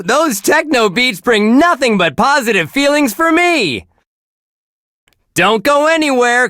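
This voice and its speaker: An actor playing a relentlessly cheerful, wholesome radio DJ in a video game, gushing with folksy exclamations. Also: male voice, extremely high pitch, sharp tone, no room sound, clean studio sound, exaggerated voice. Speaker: radio DJ host.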